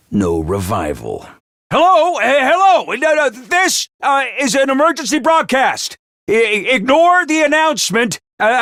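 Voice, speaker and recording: An actor playing a brisk, scheming male academy official who is theatrical and cold, swinging between booming orders and hushed asides. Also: dry recording, exaggerated voice. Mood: grim. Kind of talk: video game voice lines. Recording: dry studio voice recording.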